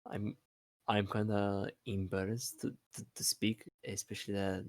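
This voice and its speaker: Non-native man, casual call chat; low gravelly voice, slow and halting, dry close mic. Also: level tone, a little mellow, no echo. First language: not English. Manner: halting, repeats words, many fillers.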